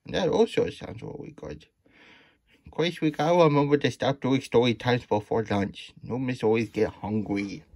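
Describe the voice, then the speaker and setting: A man's voice: mid-range voice, normal speed, creaky, a little mellow, no echo. A man voicing a cheerful, childlike plush hedgehog who reads storybooks with chatty asides in muddled third-person baby talk. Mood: wondering, sweet. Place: small indoor room at a desk.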